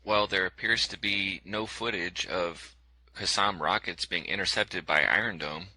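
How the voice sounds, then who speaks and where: A man's voice: low-pitched, normal speed, sharp tone, no room sound, low-quality recording. A man giving a scripted commentary over video clips in a sarcastic, skeptical tone, with frequent fillers.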